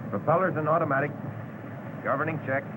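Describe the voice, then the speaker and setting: An American man narrating a 1940s military flight training film in a clear, instructional way. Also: fairly high pitch, steady tone, brisk, close to an everyday voice. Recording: noisy 1940s film soundtrack.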